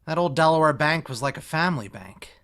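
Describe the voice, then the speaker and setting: A man's voice: on the high side, lively intonation, brisk, fairly bright tone. A man playing an idealistic, noncommittal young man, casual and hedging, in a musical theatre read-through. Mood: explaining, sheepish. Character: Bobby, idealistic young man, evasive about commitment. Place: recorded remotely online.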